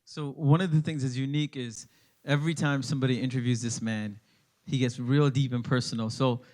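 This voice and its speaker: A man hosts a conversational interview, his voice sitting at an ordinary middle pitch. Born in India and raised in Scarborough, he steers the talk with eager, probing questions and keeps urging the guest onward. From Scarborough, Canada. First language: Tamil speaker, born in India. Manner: energetic, prompting and probing. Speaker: interview host.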